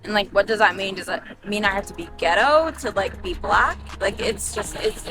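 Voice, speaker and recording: A woman's voice: medium pitch, lively intonation, moderate pace, music in the background. Candid young woman, distant mic. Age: 20s. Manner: candid, many "like" fillers. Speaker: interviewee. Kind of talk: street interview answer.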